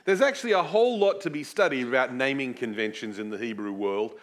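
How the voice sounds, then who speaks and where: A male preacher gives a teaching sermon in a conversational, explaining style. His voice is lively, with wide swings in pitch and lifts on the words he stresses. He keeps it informal with asides that make light of himself. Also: quick pace. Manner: animated, swooping emphasis on key words; chatty, with self-deprecating asides. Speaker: preacher teaching a series on a Bible book. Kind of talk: sermon with Bible teaching and prayer.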